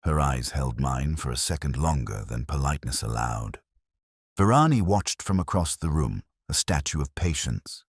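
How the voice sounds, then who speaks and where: A man reading a first-person detective story as scripted narration, in a measured, literary storytelling manner. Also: very deep voice, lively intonation, creaky, slightly rough, no room sound, studio quality.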